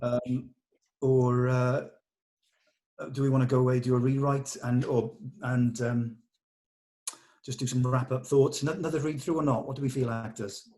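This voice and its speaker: A man with a medium-pitched voice in an informal script meeting. He talks as a co-writer putting questions and suggestions to the group in a casual way. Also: flat delivery, moderate pace, mellow. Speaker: co-writer leading a table read discussion.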